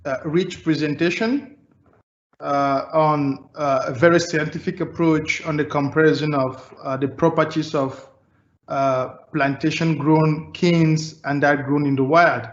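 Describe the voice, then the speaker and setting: Slightly high-voiced male webinar moderator. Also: fairly even intonation, unhurried. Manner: polite and hesitant, with many uh fillers. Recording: echoey, muffled call audio.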